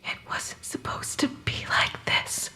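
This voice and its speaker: A woman's voice: slow pace, pushed and bright, in a whisper. An actress playing the ghost of a murdered mother in an audio drama, pleading and threatening. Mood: bitter, betrayed.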